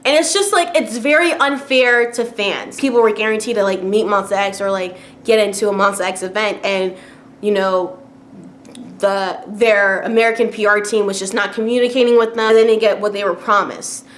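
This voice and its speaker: A woman talks straight to camera in a loose, chatty rant, full of "like" and "you know," breaking into short laughs, then climbing higher when something annoys her. Her voice sits at an ordinary middle pitch, and faint noise hums behind it. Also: fairly even intonation, normal speed. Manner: chatty, frequent fillers and laughs, rising exasperation. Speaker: vlogger.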